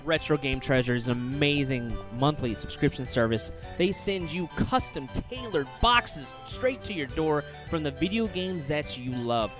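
A man's voice: on the high side, animated, normal speed, hardly any pauses, over music. A man co-hosting a casual podcast, talking in an upbeat, hyping way and reading sponsor spots. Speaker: podcast co-host. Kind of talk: casual chat and ad reads.

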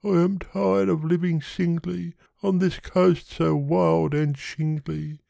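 A man's voice: a little high, level tone, slow, gravelly, dark tone, no room sound, studio quality, voice pushed up, heavily acted. A man voicing a tiny, big-headed, lovelorn creature, earnest and wistful, pleading in storybook verse. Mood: weary longing.

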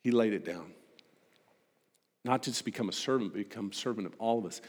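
A man delivering a sermon to a congregation as a preacher, emphatic and rhetorical, building points through repetition and pointed pauses. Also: fairly low pitch. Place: large reverberant hall. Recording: long hall reverb.

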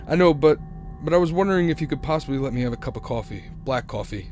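A man's voice: fairly high pitch, lively intonation, fast, a little mellow, natural voice. Haunted young motorist played by a man for radio drama: earnest, anxious narrator over faint music. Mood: nervous pleading. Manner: earnest, dramatic, stammering when agitated. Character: haunted, anxious young male cross-country driver narrating his ordeal.

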